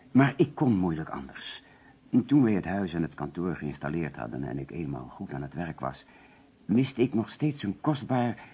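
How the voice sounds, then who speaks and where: An actor playing an uneasy, defensive insurance agent who explains himself hesitantly under police questioning in a radio play. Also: male voice, rumbling low, husky, full character voice. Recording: dull old radio recording.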